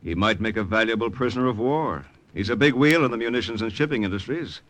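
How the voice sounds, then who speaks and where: An actor playing a tough, wry secret agent in old-time radio drama. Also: male voice, lowish voice, fast talker, a bit bright, natural voice. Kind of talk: old-time radio drama, first-person narration and dialogue.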